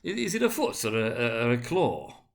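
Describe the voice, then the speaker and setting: A man's voice: mid-range voice, wildly swooping pitch, normal speed, gravelly. A man voicing an uneasy, awed old miser who speaks hesitantly and deferentially in a dramatic storybook reading. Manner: hesitant, stammering.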